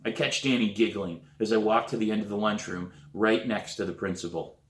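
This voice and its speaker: A man reading a children's novel aloud in an expressive storybook narration style. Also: fairly low pitch, a little fast. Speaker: author reading own novel. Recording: roomy echo.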